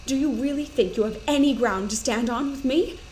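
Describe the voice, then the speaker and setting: A cold, contemptuous doctor who expects obedience, acted by a woman. The voice is female, fairly high and clipped, in sci-fi audio drama style over a faint background noise. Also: brisk, a little mellow, lightly acted. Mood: cold fury.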